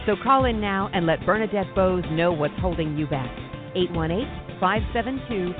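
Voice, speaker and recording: A woman reading a scripted promotional intro for a radio show in a smooth, promotional way. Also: lowish voice, lively intonation, normal speed. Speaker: show announcer. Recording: tinny line with music underneath.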